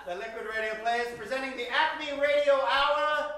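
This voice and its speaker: A man hosting a live old-time radio adventure show, announcing in a grandiose, hammy, tongue-in-cheek style with dramatic pauses. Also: very high-pitched, sharp tone. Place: live theater stage, echoing room. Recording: very echoey theater, distant, music behind.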